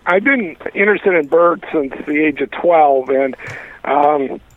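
A man, an author and guest, speaking in a measured, reflective way in a radio interview. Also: a little high, dry recording. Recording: tinny phone line.

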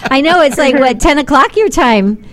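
A woman's voice: sits high, lively intonation, moderate pace. A woman co-hosting a radio show, moving between casual chat and live sponsor reads. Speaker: radio co-host.

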